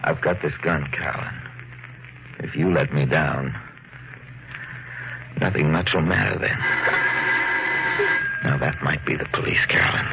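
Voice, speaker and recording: Deep-voiced, controlling husband. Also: male voice, a little slow, gravelly, long pauses, exaggerated voice. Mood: quietly threatening. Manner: commanding, coolly persuasive. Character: controlling, menacing husband suspected of murder. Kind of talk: 1950s radio thriller acting. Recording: muffled 1950s radio with faint noise.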